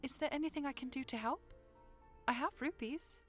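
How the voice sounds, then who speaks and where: A woman's voice: high-pitched, normal speed, fairly bright tone, soft background music. A woman playing a polite, playful young princess in an audiodrama. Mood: polite, earnest. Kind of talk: audiodrama acting.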